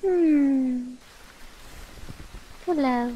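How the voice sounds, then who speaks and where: High-pitched, coaxing cat-girl familiar, acted by a woman. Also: extremely slow, lo-fi sound, a little hiss or hum. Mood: cozy, teasing. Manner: soft, coaxing, playful, giggly. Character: affectionate, sleepy young female cat-girl witch's familiar. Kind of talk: ASMR roleplay.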